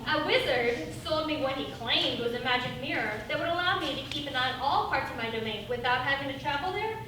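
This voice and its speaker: A woman's voice: high-pitched, expressive, brisk. Lady doing a melodramatic old witch in a stage play, fake sweet, far from the mic in a noisy echoey space. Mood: self-pitying. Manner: melodramatic, mock-genteel. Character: old fairy-tale witch, self-pitying, falsely sweet, secretly vicious. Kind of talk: theatrical stage comedy acting.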